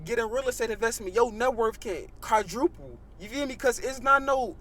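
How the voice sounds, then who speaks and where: Brief: young man, 18, high-pitched, animated, casual podcast guest. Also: brisk, a little hiss or hum. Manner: animated, repeats "you know what I mean" and "bro". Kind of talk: casual chat.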